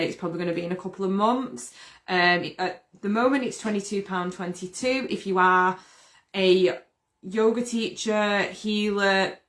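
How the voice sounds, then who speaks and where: A woman hosting a casual live stream, chatty and upbeat, with a slightly croaky voice. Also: mid-range voice. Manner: chatty, upbeat, greets viewers by name. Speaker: host.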